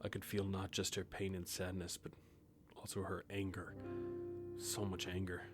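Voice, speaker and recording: Man acting deep, reserved grim reaper, aloof. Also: level tone, normal speed, loud music behind the voice, natural voice. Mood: weary, brooding. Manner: reserved, formal, terse. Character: grim reaper man, centuries old, aloof, weary, introspective. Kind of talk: audio drama acting and first-person narration.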